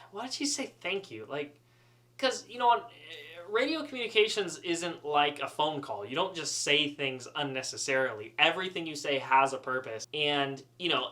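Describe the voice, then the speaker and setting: A man telling a casual, humorous story in an animated, chatty, self-deprecating way. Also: on the high side. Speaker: student pilot storyteller.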